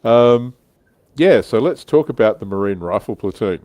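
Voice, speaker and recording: A man's voice: on the low side, animated, moderate pace, darkish tone, dry recording. A man hosting a livestream with casual chat about tabletop wargaming. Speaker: livestream host.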